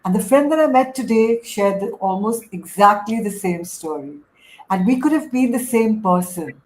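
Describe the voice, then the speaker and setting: A woman's voice: mid-range voice, animated, normal speed, a little mellow. A middle-aged woman with an Indian accent hosting a livestream, speaking emphatically and often stacking phrases into lists as she tells personal stories. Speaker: host, life coach. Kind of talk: casual livestream talk, personal storytelling. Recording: clearly noisy room sound.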